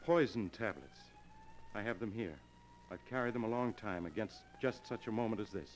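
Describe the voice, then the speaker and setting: An actor playing a smooth, fatalistic criminal lover, theatrical and persuasive, in 1940s radio drama style on an old recording. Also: male voice, lowish voice, expressive, moderate pace, natural voice. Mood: coolly persuasive. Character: smooth, fatalistic criminal lover urging his partner to suicide. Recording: noisy old golden age radio recording.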